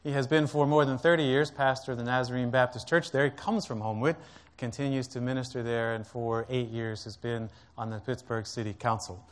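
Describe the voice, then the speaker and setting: A man's voice: medium pitch, steady tone, a little fast. Man, moderator, formal guest introduction, courteous, a few uhs.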